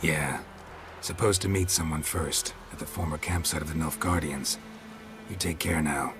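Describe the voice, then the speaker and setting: A man playing a stoic, world-weary middle-aged monster hunter in a video game, terse and deadpan, with a gravelly growl. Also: extremely low pitch, normal speed. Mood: calm, laconic. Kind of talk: video game cutscene acting. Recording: game cutscene with music behind.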